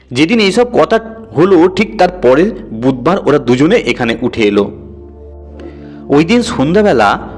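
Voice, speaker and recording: A man tells a horror tale as a scripted, dramatic narration. His voice sits at an average male pitch. Music plays underneath his reading. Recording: music bed behind the voice.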